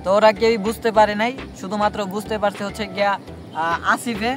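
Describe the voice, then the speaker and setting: High-pitched man, casual prank-call vlogger. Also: flat delivery, fast. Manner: addresses viewers directly, informal. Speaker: vlogger making prank calls. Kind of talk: casual vlog chat and phone pranks.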